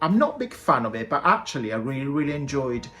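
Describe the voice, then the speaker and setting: A man with an Italian accent talks his viewers through a messy craft project in a loose, chatty way. His voice is croaky, and he often wanders off and corrects himself. His pitch sits in an ordinary middle range. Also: very animated, fast, pushed and bright, faint music behind. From southern Italy. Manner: rambling, chatty, self-correcting. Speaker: craft tutorial host.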